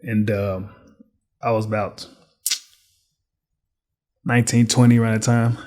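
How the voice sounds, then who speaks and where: A man from Dallas and Chicago, a retired boxer, relaxed as he tells stories in a podcast interview. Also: lowish voice, a little slow. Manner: relaxed, easygoing storytelling with laughs. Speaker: guest, business owner and retired boxer. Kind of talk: casual interview storytelling.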